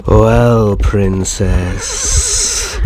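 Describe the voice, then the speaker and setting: A man playing a smugly cruel, vengeful vampire naga in an ASMR roleplay, taunting and drawing out his words in a gravelly voice. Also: medium pitch, huge pitch swings, noisy background, heavily acted. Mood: sadistic amusement. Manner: drawn-out, taunting.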